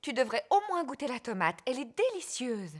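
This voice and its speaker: High, lively mummy pig. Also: female voice, a little fast. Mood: gentle, patient. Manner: gentle, reassuring, clearly spoken. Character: mummy pig, gentle, sensible, patient mother. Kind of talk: children's cartoon dialogue, dubbed.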